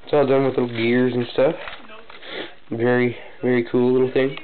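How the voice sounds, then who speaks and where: Young male vlogger with a head cold, halting show-and-tell delivery, thin phone-like recording with faint hiss. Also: flat delivery. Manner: casual, hesitant, many fillers and restarts. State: has a cold, sniffling. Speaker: hobby builder showing a model. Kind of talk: casual show-and-tell vlog. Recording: tinny phone-like low-fi audio, faint noise.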